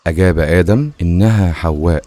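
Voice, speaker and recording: An actor playing Adam as a grave, dignified man with a solemn, measured delivery in an animated religious story. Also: low voice, no echo, natural voice.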